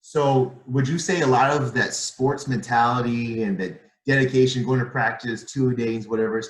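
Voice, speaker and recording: A man's voice: mid-range voice, fairly even intonation, normal speed, roomy sound, low-quality recording. A young man hosting an online interview, asking casual, rambling questions with frequent hesitations. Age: young adult. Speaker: interviewer host.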